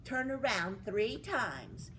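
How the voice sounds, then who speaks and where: Bubbly fairy godmother, a lady putting her on, way up high and sing-song, all swoops. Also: sing-song, takes it slowly, sharp tone, recorded from a distance, a little hiss or hum, heavily acted. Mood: gentle.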